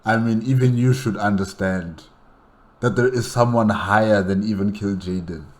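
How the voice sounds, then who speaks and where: A man's voice: on the low side, normal speed. A man giving casual solo reaction commentary, asking rhetorical questions and addressing characters directly.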